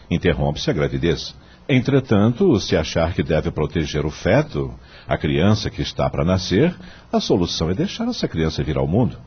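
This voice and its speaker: An actor playing a principled, devout judge in a Brazilian radio drama, speaking formally and oratorically with rhetorical questions. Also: male voice, sits low, slightly rough, exaggerated voice. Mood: earnest, teaching. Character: eloquent male judge and mentor, devout, firmly principled. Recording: dull lo-fi audio, faint music.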